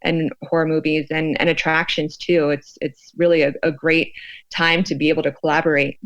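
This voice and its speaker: A woman's voice: low voice, flat delivery, moderate pace, fairly bright tone, dry recording. American woman, thoughtful, slightly dull audio. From United States. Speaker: sociologist and researcher, podcast guest. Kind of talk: conversational interview explanation.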